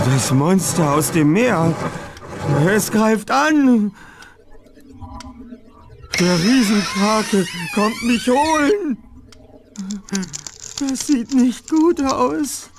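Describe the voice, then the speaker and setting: An actor playing a frightened teenage boy detective in an adventure game, with a dramatic, drawn-out delivery. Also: very high voice, gravelly, background noise, full character voice. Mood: panicked, pleading. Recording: music behind the voice.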